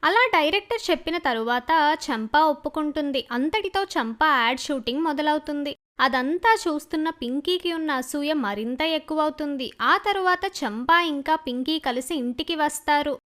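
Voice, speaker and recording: A woman's voice: high-pitched, normal speed, fairly bright tone. A woman reading a scripted story as its narrator.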